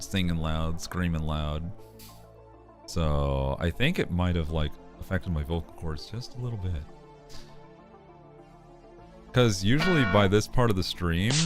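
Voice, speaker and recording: A man chatting casually on a livestream, joking loosely and laughing often. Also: low voice. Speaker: streamer.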